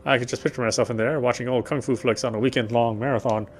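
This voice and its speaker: A man reading visual novel narration aloud as he plays, often halting and correcting himself. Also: mid-range voice, steady tone, a little hiss or hum. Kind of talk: read-aloud game narration.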